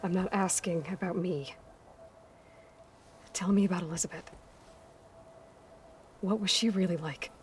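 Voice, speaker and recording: A woman's voice: lowish voice, a little slow, pauses often, a little mellow, a little hiss or hum, close to an everyday voice. A woman playing a guarded, skeptical young heroine in a video game cutscene, with a terse, probing, earnest way of talking. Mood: guarded, insistent. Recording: background music under the dialogue.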